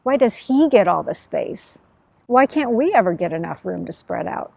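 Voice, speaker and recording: A woman's voice: fairly low pitch, big pitch swings, moderate pace, gravelly, dark tone, dry recording, pitched below the performer's own voice, exaggerated voice. A woman voicing plaintive, indignant plants and animals complaining in a children's story.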